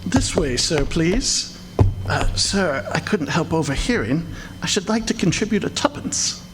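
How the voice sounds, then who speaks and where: A man's voice: a little high, animated, measured pace, over music. A man playing a meek, kindly clerk, hesitant and deferential, in live radio theatre. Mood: timid, obliging. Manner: timid, hesitant, eager to please. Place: stage before a live audience. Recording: live stage recording, clear background noise.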